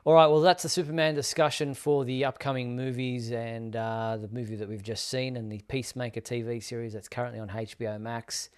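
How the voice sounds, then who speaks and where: A man with a mid-pitched voice hosts a live show. He makes announcements casually, in short, halting phrases with pauses between them. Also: flat delivery, clear, resonant tone.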